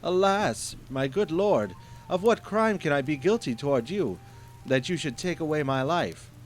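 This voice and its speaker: A terrified merchant begging for his life , as a man voices him in a storybook reading. His voice jumps high and loud, trembling and pleading. Faint music and rain sit behind. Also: expressive, measured pace.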